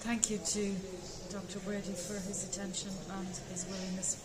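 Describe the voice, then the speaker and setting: A woman's voice: medium pitch, level tone, normal speed. Formal woman interviewer, noisy hall. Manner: formal, announcing introductions. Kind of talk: conference interview. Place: busy conference venue. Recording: loud ambient conference noise.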